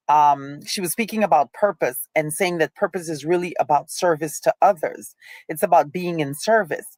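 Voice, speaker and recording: A woman's voice: sits low, darkish tone, no room sound. A middle-aged woman hosting a panel discussion, reflecting aloud and addressing the audience. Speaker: panel host.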